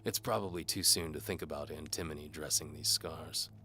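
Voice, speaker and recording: A man's voice: extremely low pitch, brisk, bright, forceful tone, slight background noise. A man narrating a scripted first-person audio drama in a dry, hard-boiled noir style. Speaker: narrator and performer.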